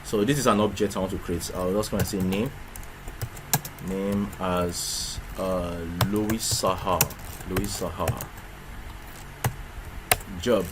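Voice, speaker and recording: A man's voice: deep voice, fairly even intonation, slow, pauses often. A man teaching programming in a screen-recorded tutorial, explaining step by step with frequent restarts and rhetorical questions. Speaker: programming instructor. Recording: clear background noise with keyboard typing.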